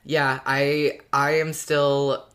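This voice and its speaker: A podcast panelist with a middling pitch talks casually as a fan. The delivery is reflective and a little hesitant, like someone reasoning out loud. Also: flat delivery, drawn-out words. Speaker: podcast co-host or guest discussing an audio drama.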